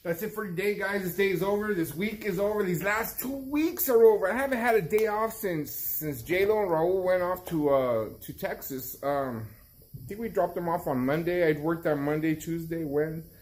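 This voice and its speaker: A man's voice: high-pitched, normal speed, darkish tone. A middle-aged man chatting casually to his vlog viewers as a barber and shop owner, often repeating phrases for emphasis. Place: outside a storefront, later inside a barbershop. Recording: noisy ambient background.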